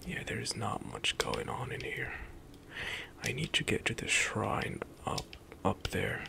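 A young man whispering relaxed commentary as he plays through a game for an ASMR stream, often trailing off mid-thought. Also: on the low side, measured pace, gravelly.

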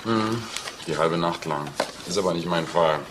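An actor plays a sober male investigator in a crime drama. His voice is low and he talks matter-of-factly. Noticeable noise runs behind him. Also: normal speed, natural voice. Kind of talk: German TV crime drama acting.

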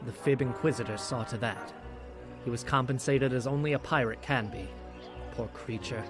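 A man's voice: mid-range voice, lightly acted. A man voicing a solemn, formal alien cleric. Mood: solemn, ominous. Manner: measured, formal. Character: solemn, formal priestess of an alien religious order. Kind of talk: comic dub voice acting. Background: music.

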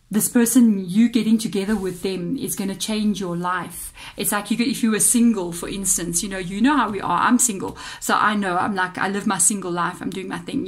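Chatty South African woman reading tarot. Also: mid-range voice. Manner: chatty, musing aloud, addresses viewers directly. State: voice a bit off, slightly unwell-sounding. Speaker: tarot reader. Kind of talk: casual tarot reading to camera. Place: indoors at a tabletop altar.

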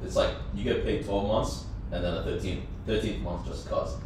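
A young man chatting as a podcast guest, relaxed and joking. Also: low voice, normal speed, a little hiss or hum. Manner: laid-back, joking. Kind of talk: casual chat. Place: podcast studio with couches. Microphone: boom mic on a stand. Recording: echoey room from a distance.

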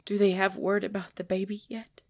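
A woman playing a proud, pregnant vampire leader in pain, speaking formally and dramatically in an ASMR roleplay with breathy drops toward a whisper. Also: medium pitch, little pitch movement, a little hiss or hum, natural voice. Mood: grave, urgent. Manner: slow, formal, dramatic, often dropping to whispers.

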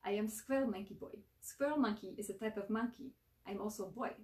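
A woman's voice: mid-range voice, fairly quick, a little mellow, close to an everyday voice. A woman voicing a touchy, wary squirrel monkey boy from a storybook circus, with a thick Russian accent and blunt, clipped phrasing, in an echoey room. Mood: matter-of-fact. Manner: blunt, clipped, broken grammar. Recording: roomy echo, far from mic.

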